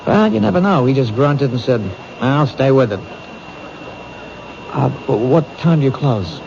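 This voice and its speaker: Protective detective, friendly, bit of a stammer, old radio drama. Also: male voice, medium pitch, animated, measured pace, gravelly. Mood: warm, earnest. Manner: friendly, stammers when nervous. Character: earnest male police detective, protective. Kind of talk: 1970s radio drama acting. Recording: dull old radio broadcast with hiss.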